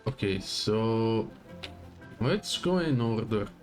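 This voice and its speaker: A man's voice: fairly low pitch, animated, takes it slowly. A man streaming a video game live, muttering casual asides and reacting as he plays. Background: faint game music.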